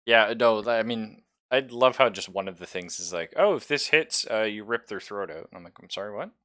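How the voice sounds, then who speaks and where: A man's voice: fairly low pitch, expressive, a little fast, fairly bright tone, no room sound, studio quality. A man acting as game master, casually explaining rules and narrating the action of a tabletop role-playing game. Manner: explains rules conversationally, describes gory action.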